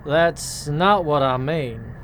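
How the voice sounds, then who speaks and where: A woman's voice: sits low, slow pace, a little hiss or hum. A woman playing a folksy, wary shopkeeper with a Southern US accent and a drawl in a radio play. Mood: skeptical.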